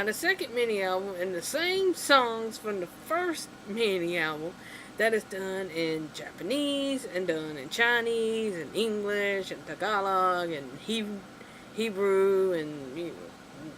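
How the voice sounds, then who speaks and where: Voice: medium pitch, animated, slow pace, fairly bright tone, slight background noise, rough recording. A vlogger ranting casually to camera, rambling and exasperated, with lots of "you know" and "like" fillers. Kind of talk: casual opinion rant to camera.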